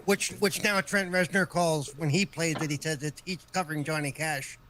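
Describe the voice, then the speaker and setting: A man's voice: fairly high pitch, steady tone, normal speed, a crowd in the background. A man in his fifties joking around and laughing often in a casual podcast chat. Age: 50s.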